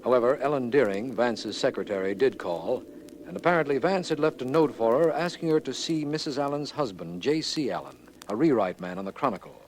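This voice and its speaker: A man's voice: mid-range voice, expressive, brisk, lightly acted, low-quality recording. A man playing a formal, serious district attorney who narrates an old radio mystery. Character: sober, official male district attorney narrating the case. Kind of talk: mid-century radio drama narration. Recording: old radio recording, faint noise.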